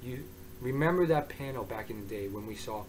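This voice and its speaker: A man with a mid-range voice talks through a review in a loose, excitable way, circling back and restarting his thoughts. His voice is hoarse. He sounds like a regular host speaking straight to his viewers. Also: medium pitch, fairly quick, rough recording. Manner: excitable, rambling, with many restarts. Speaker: manga reviewer. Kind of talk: casual review monologue.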